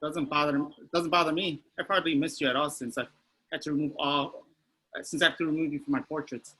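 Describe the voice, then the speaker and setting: A man's voice: on the high side, steady tone, a little fast, pushed and bright, husky, low-quality recording. A man reading the part of a petty, boastful rich old man at an amateur table read. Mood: wounded.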